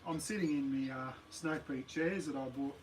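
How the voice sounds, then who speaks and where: A man with an Australian accent and a slightly croaky voice, chatting casually and rambling on a live stream from his backyard. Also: mid-range voice, normal speed, slight background noise, rough recording. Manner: rambling, relaxed, many ums and uhs. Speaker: camping channel host. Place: backyard outdoors.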